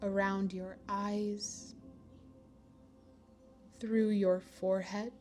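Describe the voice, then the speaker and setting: A woman leading a guided meditation in a gentle, soothing manner, with pauses between phrases. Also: medium pitch, flat delivery, soft background music. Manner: slow, gentle, soothing delivery with long pauses.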